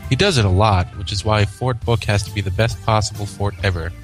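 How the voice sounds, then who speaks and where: A man with a fairly low voice reads a story aloud as co-host of a fan fiction show. Soft music plays behind his narration. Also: brisk. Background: faint music.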